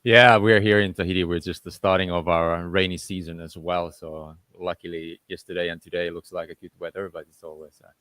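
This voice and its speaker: A man's voice: deep voice, level tone, fairly quick, a bit bright, dry recording. A man from Finland hosting a live-streamed interview over a video call, talking in a friendly, loose way with many fillers. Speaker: host. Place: at home in a tropical island location.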